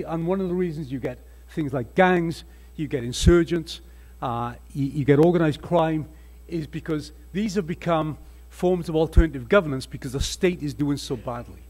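Husky Welsh-accented older man lecturing. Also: a little high, a little mellow. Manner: earnest, emphatic lecturing with restarts. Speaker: panel speaker. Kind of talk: conference panel talk with slides. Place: conference hall panel table. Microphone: table microphone.

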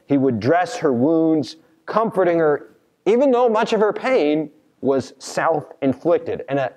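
Male American pastor, earnest sermon delivery, slow and measured, ringing church-hall reverb. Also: a little high, a little mellow. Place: large church sanctuary, at a pulpit.

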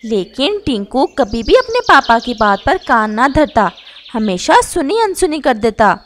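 Female storyteller: high pitch, lively intonation, kids' fable narration, slight noise behind. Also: measured pace. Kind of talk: scripted children's story narration.

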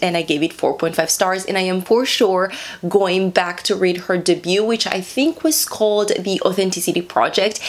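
A young woman talking casually and expressively about books she read, in a small room. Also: mid-range voice. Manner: chatty and expressive, talks with her hands. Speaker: book reviewer. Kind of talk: casual book review vlog. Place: small room with a plain wall.

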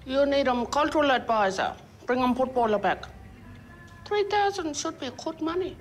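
A woman's voice: high voice, animated, normal speed, soft background music. A woman playing a wry radio worker who gives advice in a laconic, amused way. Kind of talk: TV comedy acting.

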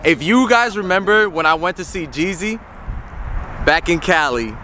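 Animated young man, lively and bright-pitched. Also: moderate pace. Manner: animated, incredulous teasing. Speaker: vlogger. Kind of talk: casual chat and vlog narration. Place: car and outdoors in cold wind. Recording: road and wind noise.

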